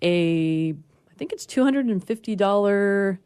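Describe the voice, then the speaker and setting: A woman on a radio panel giving information conversationally, in a measured and somewhat hesitant way. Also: medium pitch, lively intonation, dark tone, smooth, dry recording, studio quality. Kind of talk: informative casual chat.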